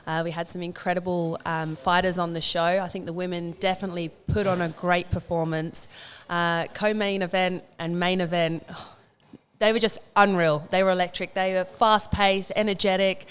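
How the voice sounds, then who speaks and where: A woman's voice: fairly low pitch, little pitch movement, moderate pace, clear, resonant tone. A woman in her thirties from England with an English accent, chatting upbeat as an interview guest. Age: 30s. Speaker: guest, boxer. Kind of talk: casual interview chat.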